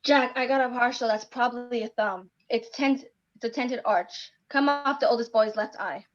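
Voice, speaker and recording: A woman's voice: fairly high pitch, moderate pace, muffled recording, close to an everyday voice. A woman playing a dogged, matter-of-fact fingerprint examiner in a table read. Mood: matter-of-fact, determined. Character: dogged forensic fingerprint examiner, dryly determined. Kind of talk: screenplay table read acting.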